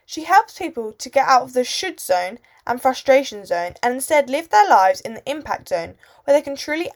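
Lively high-voiced woman co-presenter. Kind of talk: read guest introduction.

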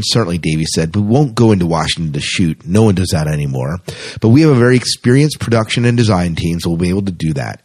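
A man's voice: on the low side, a little mellow, husky. A man co-hosting a podcast, chatting casually and reading out news informally with offhand asides. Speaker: podcast co-host.

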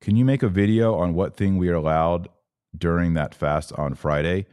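Very deep-voiced man, dry close sound. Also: moderate pace, darkish tone, clean tone, dry recording. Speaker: podcast host answering live comments. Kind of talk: religious commentary, casual live Q&A.

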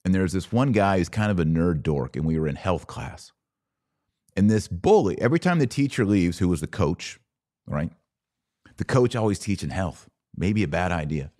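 A middle-aged man with an American accent giving an informal podcast monologue, telling personal stories emphatically with dramatic pauses on key words. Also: low-pitched, animated, mellow. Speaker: podcast host.

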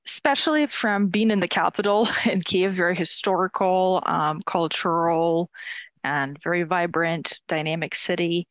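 Open and talkative, a young woman from Ukraine speaks as an interview guest with a slightly low voice. She laughs easily and restarts her sentences as she goes. The recording is close and dry, with no room sound. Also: measured pace, no room sound. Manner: candid, laughs often, frequent restarts. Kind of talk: casual interview.

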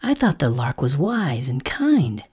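A woman's voice: extremely low pitch, sing-song, measured pace, darkish tone, heavily acted. A woman voicing a mild, anxious female caterpillar in a storybook, fretful and earnest, given to exclaiming. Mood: doubtful, wondering. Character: mild, anxious green caterpillar, female, kind but indignant. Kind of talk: storybook character voice.

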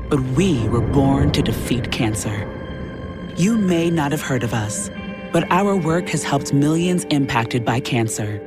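Resolute announcer over loud music. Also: mid-range voice. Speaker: charity advertisement announcer. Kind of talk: scripted public service announcement. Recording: loud music bed under voice.

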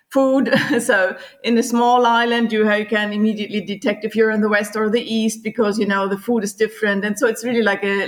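A middle-aged woman from Germany with a German accent, a tour guide talking as a podcast guest, laughing often and pausing on fillers. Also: mid-range voice. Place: home in Sicily, remote call.

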